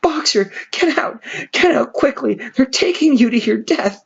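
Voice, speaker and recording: A man's voice: extremely high pitch, animated, unhurried, gravelly, husky, muffled recording, pitched above the performer's own voice, heavily acted. A man reading aloud, voicing a desperate, motherly mare in a storybook. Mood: desperate crying.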